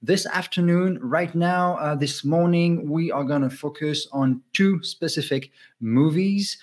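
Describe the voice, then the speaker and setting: A man's voice: a little high, a little slow, a little mellow. A man hosting an interview for a film festival, welcoming guests and asking questions in an upbeat way.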